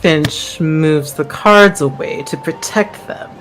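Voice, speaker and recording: A woman's voice: low voice, slow, fairly bright tone. Tabletop player, woman, leisurely delivery, casual in-character play, faint music bed.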